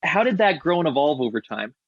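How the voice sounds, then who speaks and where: A man's voice: on the high side, big pitch swings, brisk, no echo, lo-fi sound. A man hosting a podcast, interviewing casually with enthusiastic, rambling questions. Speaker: podcast host.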